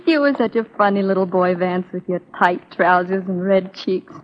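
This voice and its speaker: An actress as a young Southern woman spy from a 1940s radio play. She is poised, wistful and guarded, with a mid-pitched voice. The sound is dull and lo-fi, like an old broadcast. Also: normal speed, a little hiss or hum, lightly acted.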